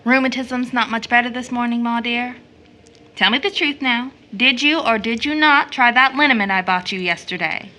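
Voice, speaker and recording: A woman playing a doting, playful young woman who teases and gently corrects in an audio drama. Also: fairly high pitch, normal speed. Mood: amused, chiding. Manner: teasing, gently correcting, fond. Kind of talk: stage play audio drama acting.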